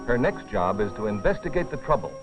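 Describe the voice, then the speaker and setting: A man narrating a dramatized film in a grave, deliberate scripted style with dramatic pauses. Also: lowish voice, very animated. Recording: dull old film soundtrack, music behind. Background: dramatic music.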